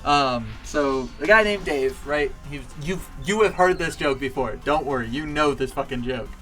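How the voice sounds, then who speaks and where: Male streamer, pitched-up feminized voice, loose and profane, cheap mic with faint background music. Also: a bit bright. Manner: deliberately higher, feminized speaking voice; rambling, swearing, laughing often. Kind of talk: casual stream chat and joke telling. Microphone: cheap desk mic with poor pop filter.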